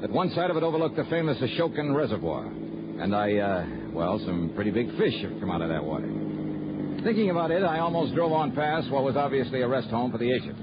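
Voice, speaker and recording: A man's voice: mid-range voice, sing-song, brisk, very noisy. An actor playing a wry, easygoing insurance investigator, telling his case conversationally in a 1960s radio drama. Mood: dry storytelling. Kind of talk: 1960s radio drama, first-person detective narration. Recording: dull old radio recording.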